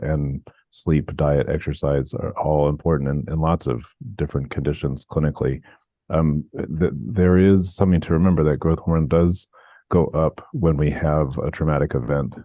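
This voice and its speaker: A man's voice: a bass voice, no echo. A man speaking as a guest doctor, thoughtful and hesitant with many fillers. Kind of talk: expert explanation in interview. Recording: dry tinny phone-like line.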